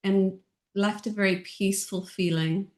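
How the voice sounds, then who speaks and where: A middle-aged woman from Ireland with a softened Irish accent, chatting as an interview guest in a gentle, reflective way. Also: mid-range voice, fairly even intonation, unhurried. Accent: Irish, softened by years in Texas. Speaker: guest, author and audiobook narrator. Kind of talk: casual interview chat.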